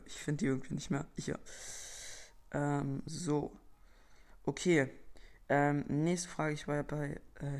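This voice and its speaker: A man's voice: fairly high pitch, creaky. A young teenage boy from Berlin hosting his own podcast, casually reading out and answering listener questions with lots of fillers and slang. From Berlin, Germany. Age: young teen boy, entering eighth grade. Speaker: podcast host.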